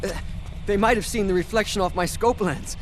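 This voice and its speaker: An actor playing a bumbling young soldier in a video game, with an American accent and a whiny, flustered, repetitive delivery. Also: male voice, high-pitched, a little fast, lightly acted. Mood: panicked, defensive. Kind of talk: comic video game voice acting. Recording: loud music behind.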